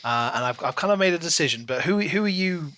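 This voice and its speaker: A man's voice: on the high side, expressive, quick pace, pushed and bright, no echo. A man co-hosting a casual book discussion podcast, talking in a rambling way and often rephrasing himself. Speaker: podcast co-host.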